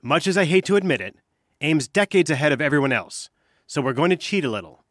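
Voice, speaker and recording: An earnest, wry scientist heading a band of resistance fighters in a video game. His voice sits a little high for a man. He talks like a mission dispatcher, conversational yet clipped, in a very dry studio sound. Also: big pitch swings, fast talker, a bit bright, no room sound. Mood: wry, briefing. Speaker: mission dispatcher giving orders and updates.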